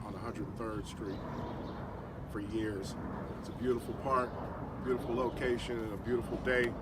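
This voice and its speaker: A man with a head cold and a slightly nasal voice hosts an interview outdoors in a city park, rambling with many fillers. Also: medium pitch. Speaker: host. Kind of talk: casual interview introduction. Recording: distant mic outdoors with clear street noise.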